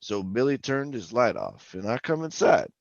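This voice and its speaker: A man's voice: mid-range voice, sing-song, normal speed, vocal fry, no echo, dull audio. An actor playing a folksy, talkative oil-rig worker who tells his eerie tale in colloquial radio horror drama style. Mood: folksy narrating. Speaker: actor and narrator. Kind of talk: old-time radio horror drama acting and first-person narration.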